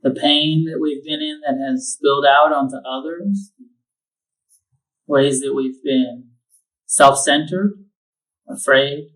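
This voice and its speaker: Slow, calm man guiding a meditation. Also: a little high, distant mic, poor audio. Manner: slow, calm instructions with long pauses. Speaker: meditation teacher. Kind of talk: guided meditation.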